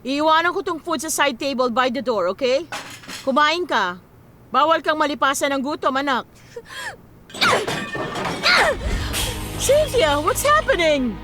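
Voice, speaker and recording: High, expressive, coaxing mother. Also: normal speed, loud music behind the voice. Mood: worried, coaxing. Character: caring, coaxing mother of twin daughters. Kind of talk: radio drama acting.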